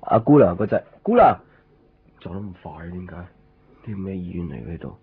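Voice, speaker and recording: An actor playing a brooding, lovelorn man narrating a radio drama in Hong Kong Cantonese, in a deliberate, introspective way. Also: sits low, sing-song, slightly rough, dry recording, exaggerated voice. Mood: casual, hesitant. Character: brooding, lovelorn man searching a night hospital. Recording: dull lo-fi radio recording, faint music.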